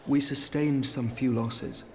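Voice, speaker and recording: An actor playing a noble, earnest knightly envoy in a fantasy game, speaking in formal, archaic oratory. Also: male voice, mid-range voice, steady tone, slow, a crowd in the background, lightly acted. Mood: earnest, grateful. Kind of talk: fantasy video game voice acting.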